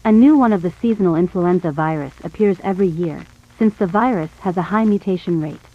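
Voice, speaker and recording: Lowish woman narrator, slightly dull sound. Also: brisk. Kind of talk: scripted educational narration.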